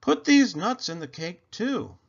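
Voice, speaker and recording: A man's voice: sits high, sing-song, measured pace, vocal fry, lo-fi sound, voice pushed up, heavily acted. A man voicing a busy, instructing lady of the house in an emphatic storybook style.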